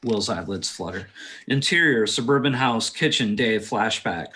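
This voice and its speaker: Man, slightly deep voice, director, screenplay narration, casual asides. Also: animated, moderate pace, pushed and bright. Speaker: director reading stage directions at a table read.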